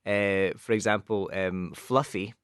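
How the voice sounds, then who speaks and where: A man's voice: fairly low pitch, steady tone, unhurried, clean tone, dry recording, studio quality. A man with a Glasgow accent co-hosting a radio show, patiently explaining English football expressions and slipping into Spanish now and then. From west of Scotland. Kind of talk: bilingual radio chat, explaining football idioms.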